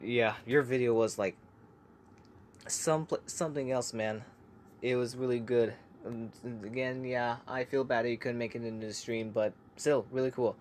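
Young male creator, casual comment-reading vlog, hushed delivery, chatty and halting. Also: mid-range voice, steady tone, a little hiss or hum. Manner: chatty, hesitant, many fillers and restarts; kept quiet so family can sleep. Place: home laundry room.

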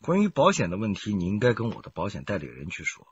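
A man's voice: on the low side, wildly swooping pitch, quick pace, lo-fi sound, slight background noise. A man playing a cunning, reckless gambler and murder suspect, brazen and sneering, in a radio crime drama. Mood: defiant.

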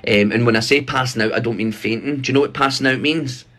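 A man's voice: mid-range voice, fast talker, a bit bright. A man in his thirties making a prank phone call in a rambling, mock-official manner, recorded on a phone in a bedroom. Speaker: prank caller. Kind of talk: prank phone call posing as army recruiter. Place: small bright bedroom indoors.

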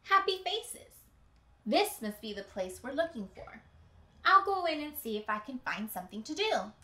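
Woman voicing a high, bold storybook hen. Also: very animated, moderate pace, sharp tone, exaggerated voice. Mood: bold, eager. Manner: clucking, emphatic, declarative. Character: bold, bored, determined mother hen in a storybook. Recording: roomy indoor echo.

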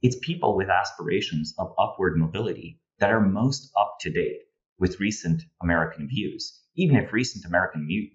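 A man in his thirties with a Slovenian accent, explaining his analysis discursively in an interview over a video call. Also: low voice. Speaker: guest political analyst. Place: home room with bookshelves, indoors. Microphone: earphone headset on video call. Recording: slightly dull video-call audio.

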